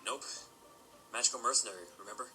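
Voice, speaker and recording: A man's voice: mid-range voice, fast talker, close to an everyday voice. A man playing a persuasive, studious young mercenary mage pony in an amateur audio drama, articulate and reasoning. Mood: confident. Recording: loud music behind.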